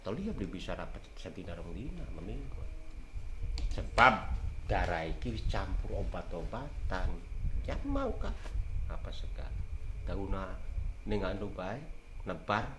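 Older man, low deep voice, rambling folk storyteller, roomy echo. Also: big pitch swings, a little slow, long pauses. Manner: rambling, emphatic swings in loudness. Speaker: storyteller elder. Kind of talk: casual folk talk on herbal lore. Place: small room with green backdrop, seated on sofa. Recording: roomy echo with faint hiss.

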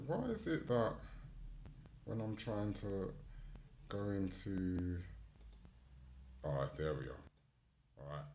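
A man with a low-pitched voice hosts a casual live stream. He speaks informally and unscripted, thinking aloud between bits of news. He sounds like he is running the show himself from a small studio setup. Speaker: host of a live online news and chat show. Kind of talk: casual live stream chat and article reading.

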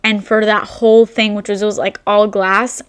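A woman in her twenties, raised in Albania, chatting to camera in a relaxed Q&A and filling her talk with "like". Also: mid-range voice, moderate pace, smooth. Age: 20s. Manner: chatty, rambling, full of "like" fillers. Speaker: vlogger. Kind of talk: casual Q&A chat to camera. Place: indoor room with brick wall and shelves.

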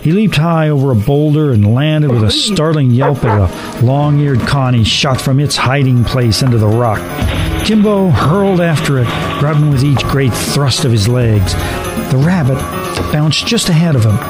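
A man narrating a scripted horror story for radio drama with deliberate, ominous, measured phrasing. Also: mid-range voice, animated, poor audio. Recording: music under the voice. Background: music.

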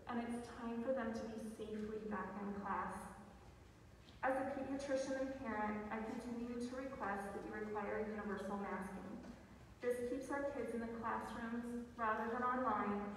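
A middle-aged woman, a pediatrician reading a firm, earnest public comment at a school board meeting. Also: on the high side. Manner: earnest, firm, steady pleading. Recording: echoey hall, distant mic, faint hiss.

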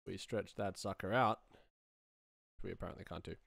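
Casual male tutorial host, 30s, low-pitched, thinking aloud, close dry mic. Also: fairly even intonation, normal speed, gravelly, a bit bright, dry recording. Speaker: sound designer, tutorial host. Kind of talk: casual screen-recorded demonstration, thinking aloud.

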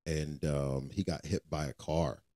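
Very deep, mellow-voiced man. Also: steady tone, a little slow, no echo, studio quality. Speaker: podcast co-host. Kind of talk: casual faith podcast chat.

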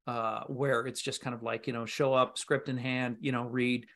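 A man's voice: medium pitch, flat delivery, moderate pace, fairly bright tone. A middle-aged man with a mid-range voice chats on a video call. He rambles informally through his answers and has a salty, unpolished way of putting things. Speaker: guest, audio drama voice actor. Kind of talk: casual interview chat. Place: indoor room, video call.

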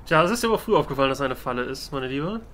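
Bright-voiced man doing gameplay commentary. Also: medium pitch, animated, very fast, a little hiss or hum. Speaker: let's play host. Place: voice-over on game footage.

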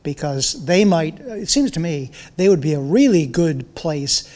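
Audience guy asking a couple pointed questions, voice a bit high. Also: animated, a little slow, darkish tone. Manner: direct, questioning. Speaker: audience member.

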